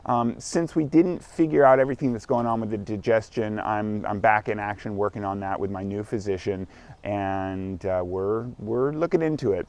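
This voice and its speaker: A man's voice: medium pitch, darkish tone, no echo. A man in his forties, vlogging outdoors in morning sunshine, talking candidly in a rambling, self-correcting way. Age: 40s. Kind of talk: casual self-recorded vlog.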